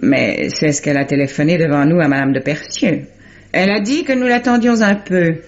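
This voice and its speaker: An actress playing a resourceful, smooth-tongued lady sleuth in a 1950s French radio mystery, articulate and polite. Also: sits low. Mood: politely insistent. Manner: polite, quick-witted, articulate. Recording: dull old radio recording.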